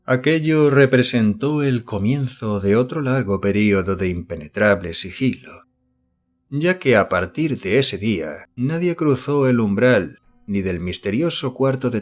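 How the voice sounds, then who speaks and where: A man narrating a horror story as an audiobook, reading in a measured, literary storytelling manner. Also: lowish voice. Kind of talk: scripted narration of a horror novel.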